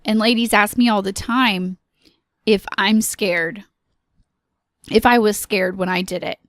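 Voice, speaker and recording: Slow, earnest woman podcast host. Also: mid-range voice, steady tone, a bit bright, no room sound, clean studio sound. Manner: earnest, encouraging, slow with pauses and filler ums. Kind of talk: solo podcast monologue.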